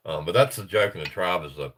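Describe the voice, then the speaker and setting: A man's voice: deep voice, fast talker, a bit bright, slight background noise. A middle-aged man with a stuffy, congested voice, hosting a casual livestream and reading reports aloud with rambling, chuckling asides. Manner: rambling, chuckling, self-interrupting. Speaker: livestream host.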